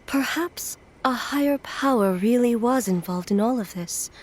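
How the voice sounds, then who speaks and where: A woman voicing a slow, solemn farewell spirit. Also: fairly high pitch, natural voice. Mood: thoughtful. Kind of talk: game voice acting. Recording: music in background.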